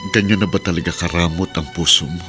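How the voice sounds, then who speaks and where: A man's voice: sits low, fast talker, over music, close to an everyday voice. An actor playing an anguished, devoted lover and father in a radio drama, speaking earnestly and emotionally. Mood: reproachful, hurt. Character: anguished man in love, fiercely devoted new-found father. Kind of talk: Tagalog radio drama acting.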